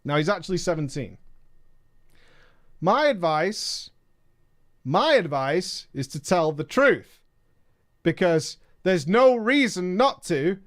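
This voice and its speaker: Male streamer, story reader with commentary; bouncy, animated delivery, mocking side remarks. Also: high-pitched, slow, pauses often. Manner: emphatic, mocking asides. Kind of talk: reading a viewer's story aloud with commentary.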